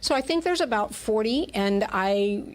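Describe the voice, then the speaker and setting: A woman's voice: medium pitch, animated, normal speed. A woman with a mid-range voice talks casually as a guest in a radio studio. She explains things in a chatty, conversational way, as someone representing a theatre troupe. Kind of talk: casual interview talk.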